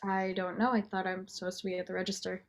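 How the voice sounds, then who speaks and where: A woman's voice: medium pitch, little pitch movement, fast, lightly acted. A young woman playing a meek, unsure nineteen-year-old cashier, hesitant and apologetic, in an audio drama. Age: young adult.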